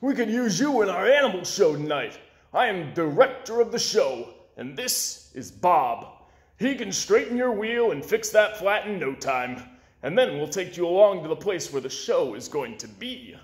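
A man voicing a bossy, excitable animal show director from a storybook, speaking in an emphatic way. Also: high voice, moderate pace, roomy sound. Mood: delighted, welcoming.

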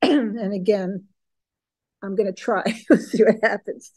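An older woman with a hoarse voice and a slight cough, offering a heartfelt prayer and chanting a mantra over a video call from home. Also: medium pitch, unhurried, no room sound. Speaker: guest minister. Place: home room, indoors. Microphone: video call, phone held close.

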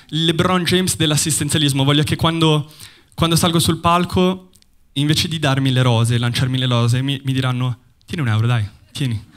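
A young man from Bologna performing a stand-up comedy set on a theater stage, talking into a handheld mic with a deadpan, ironic delivery and pauses for laughs. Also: fairly high pitch. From Bologna, Italy. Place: theater stage before a live audience.

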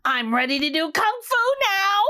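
Woman putting on an eager, high-pitched little rutabaga boy. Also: very animated, normal speed, pushed and bright, full character voice. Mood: proud. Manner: exclaiming, wide-eyed and emphatic. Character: eager, easily upset young rutabaga boy.

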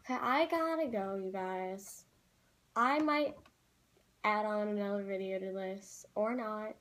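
A teenage girl vlogging from a room at home, rambling in a casual, chatty way with lots of "like"s and quick apologies. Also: medium pitch, fairly bright tone. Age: teens. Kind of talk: casual chat to camera. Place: small room at home, indoors.

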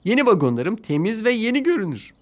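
A man voicing an eager, proud little male tank engine in a cartoon dub, indignant and quick to exclaim. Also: high voice, sing-song, pitched above the performer's own voice. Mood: scheming. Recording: music playing behind.

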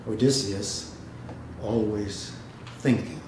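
A man giving a dramatic literary reading and talk to an audience, speaking in a measured way with pauses. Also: lowish voice, fairly even intonation. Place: indoor hall, seated before an audience. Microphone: microphone on a stand, recorded by a distant camera. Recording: echoey room, noisy background.

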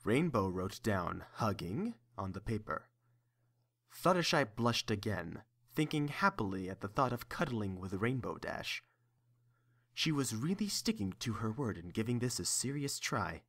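A man's voice: on the low side, fairly bright tone. A man narrating a scripted story.

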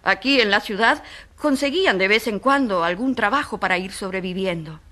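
A woman's voice: medium pitch, moderate pace, sharp tone, close to an everyday voice. An actress in a radio drama playing a passionate, indignant woman who defends the poor, speaking emphatically in repeated, building lists. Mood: bitter, indignant.

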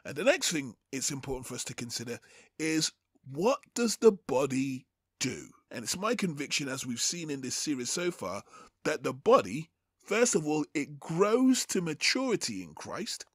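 A man teaching from the Bible as host, in a measured, deliberate and formal way. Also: on the high side, very animated, a bit bright, slightly rough, no room sound, studio quality. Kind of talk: Bible teaching over slides, with prayer.

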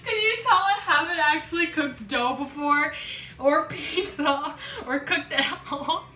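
A woman's voice: high voice, measured pace, pushed and bright, faint music behind. A young woman chatting informally and self-deprecatingly to a camera in a home kitchen, as a casual vlogger. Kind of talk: casual chat to camera while cooking.

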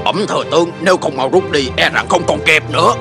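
An actor dubbing an anxious, deferential army officer in an animated historical drama, speaking formally and urgently. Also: male voice, on the high side, quick pace, music in the background, close to an everyday voice. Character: anxious, deferential male army officer reporting to his lord.

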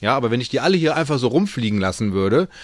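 A man's voice: medium pitch, big pitch swings, very fast, dry recording. A man working as a falconer, giving casual, plain-spoken and playful explanations to children.

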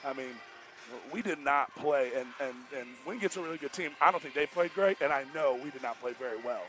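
A man's voice: on the high side, brisk, a bit bright. Emphatic American male basketball coach. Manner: emphatic, repetitive, colloquial. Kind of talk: casual post-game interview. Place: indoor basketball arena, courtside. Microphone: headset.

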